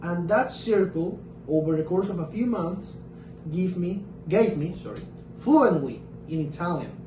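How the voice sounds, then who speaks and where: Man, native Spanish speaker, punchy repeated phrases, high-pitched, roomy echo. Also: measured pace, far from the mic, slight background noise. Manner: energetic, emphatic repetition, talks in short bursts. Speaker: English teacher and fluency coach. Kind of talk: casual vlog lesson.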